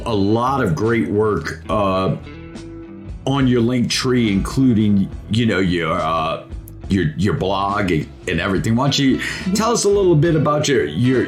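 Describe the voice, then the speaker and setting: Middle-aged American man, casual interviewer, lowish lively voice, folksy and halting. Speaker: talk show host.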